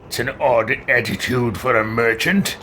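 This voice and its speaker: A man's voice: mid-range voice, moderate pace, sharp tone, a little hiss or hum, close to an everyday voice. A man voicing a self-assured adventurer in roleplayed video game dialogue, formal and direct. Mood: curious.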